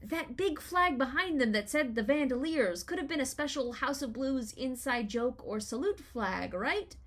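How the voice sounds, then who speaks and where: A woman in her thirties with an American accent, reading a scripted first-person essay in an expressive, wry storytelling manner. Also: high-pitched, normal speed. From Los Angeles, United States. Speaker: writer reading their own personal essay.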